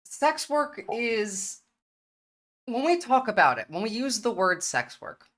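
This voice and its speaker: A woman's voice: a little high, big pitch swings, slow pace, fairly bright tone. A woman giving an earnest, argumentative opening statement in an online debate, citing statistics with some hesitations. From United States.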